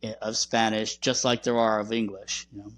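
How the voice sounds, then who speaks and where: A man hosting a podcast, explaining things in a measured, conversational way. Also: on the low side, little pitch movement, fairly bright tone. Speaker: podcast host.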